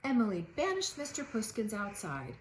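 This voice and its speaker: A woman teacher reading a children's storybook aloud, with expressive delivery and dramatic emphasis. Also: medium pitch, normal speed.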